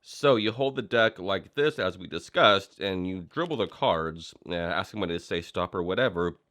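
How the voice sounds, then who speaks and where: Deep-voiced man teaching with a head cold. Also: moderate pace. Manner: relaxed, explanatory, with frequent fillers and restarts. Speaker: magic instructor. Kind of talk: casual tutorial explanation.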